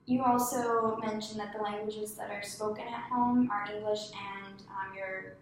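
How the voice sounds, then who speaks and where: A woman conducting a clinical case-history interview, asking polite, halting questions with many fillers. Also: mid-range voice, fairly even intonation. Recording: echoey room, distant mic, faint noise.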